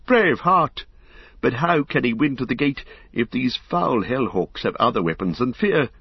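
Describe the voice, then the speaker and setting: A solemn, loyal guardsman of an old city, played by a man reading a storybook, speaking in stately, old-fashioned phrases. His voice is low and husky, with a dull, lo-fi sound. It stays steady and earnest. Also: moderate pace, dry recording. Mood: alarmed. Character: grown male guardsman, grave and loyal. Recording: dull lo-fi audiobook recording.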